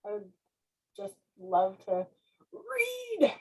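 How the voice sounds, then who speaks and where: A woman's voice: on the high side, huge pitch swings, very slow, dark tone, breathy edge, rough recording. A woman chatting casually as a guest author, effusive and self-deprecating. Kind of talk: casual question-and-answer chat.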